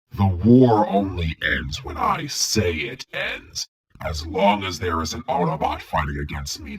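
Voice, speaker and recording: Tyrannical robot overlord, gravelly and husky, menacing, wide dramatic swings, cartoon villain. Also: male voice, mid-range voice, fairly bright tone, heavily acted. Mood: triumphant, menacing. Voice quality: gravelly, raspy. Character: tyrannical evil robot warlord, vengeful, grandiose, hateful. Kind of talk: scripted villain voice acting demo.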